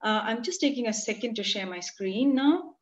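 A woman in her forties with an Indian accent, giving a prepared academic conference talk in a measured, earnest way over a video call. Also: fairly high pitch, expressive. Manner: measured, earnest, read from notes. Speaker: conference presenter. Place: home room, video call. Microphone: computer mic on a video call. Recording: muffled lo-fi video call audio.

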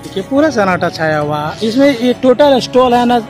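High-pitched man vlogging outdoors. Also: big pitch swings, fairly quick. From Jharkhand, India. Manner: mixes English words into Hindi. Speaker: vlogger, host. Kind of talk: casual on-the-spot market commentary. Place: busy open-air village market. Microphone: handheld phone at arm's length. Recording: outdoor crowd noise with music behind.

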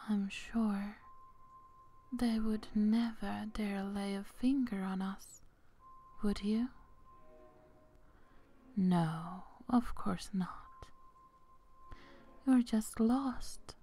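A woman playing an eerily polite young gothic sister in a whispered ASMR horror roleplay, hushed, genteel and deliberate. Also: mid-range voice. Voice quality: soft, whispery.

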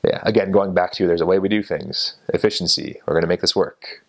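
A young man in his twenties with a low, gravelly voice, full of fry. He talks with an interviewer in a relaxed, thoughtful manner and often pauses and restarts. He is seated indoors in an armchair by a window. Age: 20s. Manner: thoughtful, with many fillers and restarts. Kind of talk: relaxed recollection to an off-camera interviewer.

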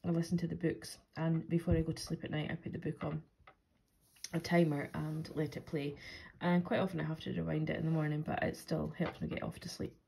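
A woman's voice: low-pitched, little pitch movement, a little fast, gravelly, mellow, slight background noise, low-quality recording. A Scottish woman rambling casually to her channel about her weekly budget, sounding chesty as she comes down with a cold, filming at a table indoors. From Scotland. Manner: rambling, friendly, many ums. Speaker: budgeting vlogger. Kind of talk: casual chatty to-camera talk.

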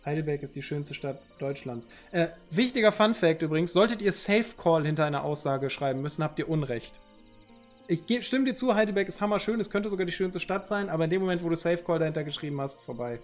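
A man in his thirties chats loosely with his viewers, joking in slang as he reads their messages aloud. His voice sits a little high for a man. A headset mic picks him up in a room at home, with faint music behind. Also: expressive, fast talker. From Northern Germany. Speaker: streamer. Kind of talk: casual chat with viewers.

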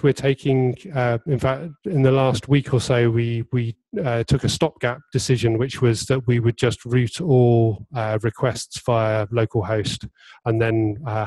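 A man's voice: mid-range voice, a little mellow, no echo, rough recording. A man answering questions after a tech talk at a meetup, hesitant, with many restarts and fillers. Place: meetup talk venue indoors.

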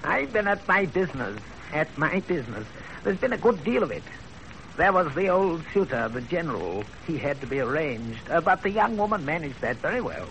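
High, creaky, rambling old matchmaker. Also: male voice, sing-song, moderate pace, a bit bright, heavily acted. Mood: matter-of-fact, busy. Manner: rambling, hemming, repeats phrases. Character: wily old professional matchmaker, talkative and self-satisfied. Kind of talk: 1930s radio drama acting. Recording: noisy, crackly 1930s radio broadcast.

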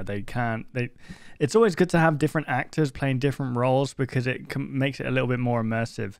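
A man's voice: mid-range voice, no room sound. Young man reacting casually. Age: 20s. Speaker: reaction video host. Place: bedroom streaming setup indoors. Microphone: close desk microphone.

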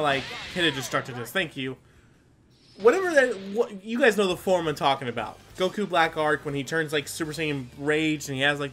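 A man's voice: a little high, bright, forceful tone. A man hosting a gameplay video with casual, rambling commentary, full of exclamations and mild swearing. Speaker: gaming video host.

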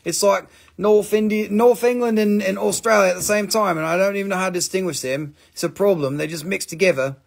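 A middle-aged man with a gravelly, slightly higher voice talks fast and excitedly on a live sales stream. He exclaims, swears casually and chats loosely, recorded close up at a table indoors. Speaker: live-stream gem seller.

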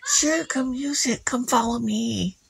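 A man's voice: very high-pitched, takes it slowly, vocal fry, a bit bright, husky, no room sound, pitched above the performer's own voice, exaggerated voice. A man voicing a creepy, child-eating old woman in a bedtime horror story, coaxing and sinister in a sugary way. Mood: coaxing, sly.

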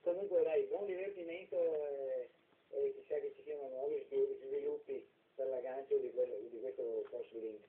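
A man with a mid-pitched voice chats casually as a radio operator. He comes through far from the mic on a thin, phone-like radio transmission. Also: normal speed. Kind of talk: casual radio sign-off chat. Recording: tinny distant radio transmission.

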